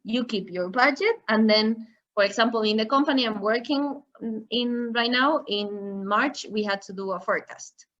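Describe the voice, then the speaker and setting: A woman's voice: on the high side, normal speed, fairly bright tone, dull audio. A woman giving an online masterclass on finance, explaining step by step with examples. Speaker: finance trainer. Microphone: video call.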